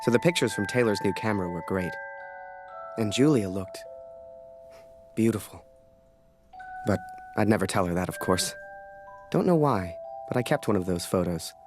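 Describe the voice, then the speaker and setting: A man's voice: on the low side, animated, normal speed, pauses often, close to an everyday voice. An actor playing a wistful young soldier-photographer who narrates his memories in a video game. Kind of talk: first-person game narration. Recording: music behind the voice.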